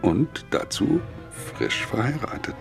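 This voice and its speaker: An actor playing a nervous, stubborn railway engineer in his forties in a German radio play, halting and self-justifying, with music under him. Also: medium pitch, very animated, vocal fry, full character voice. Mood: defensive, halting. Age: 40s. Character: nervous, stubborn forty-year-old railway engineer, male.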